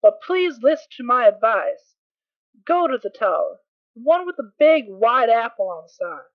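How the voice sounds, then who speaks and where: A woman's voice: on the high side, big pitch swings, normal speed, no echo. A woman playing a concerned, caring unicorn mare in an amateur audio drama, earnest and hesitant as she explains. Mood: worried, resigned. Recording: tinny, band-limited dry home recording.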